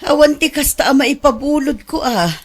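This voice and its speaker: A woman's voice: high voice, brisk. A woman playing an older, questioning aunt in a radio drama, with an Ilocano Filipino accent. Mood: cautious. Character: older, frank, questioning aunt who lends money.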